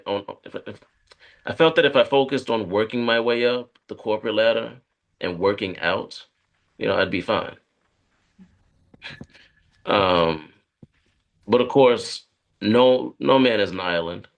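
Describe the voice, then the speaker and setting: An actor reading a script as an anxious, lonely, career-driven man who confides haltingly to a therapist. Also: lowish voice, creaky, fairly bright tone. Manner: hesitant, halting, confessional. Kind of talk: dramatic script read.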